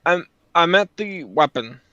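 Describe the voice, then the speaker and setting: A man's voice: on the high side, lively intonation, unhurried, pushed and bright, dry recording. A man commenting casually on a game story, explaining it in conversation. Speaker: reaction video commentator. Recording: slightly dull, close dry sound.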